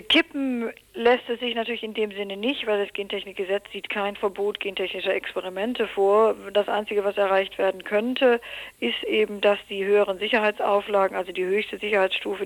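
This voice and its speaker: A woman's voice: medium pitch, no echo, rough recording. A woman answering as an expert guest in an interview, in long qualified sentences with searching, hesitant phrasing.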